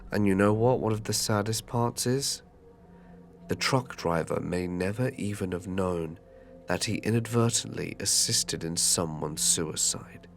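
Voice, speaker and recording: Hoarse deep-voiced man with a cold. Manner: chatty, apologetic sign-off. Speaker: horror channel host and narrator. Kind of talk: narration and casual outro.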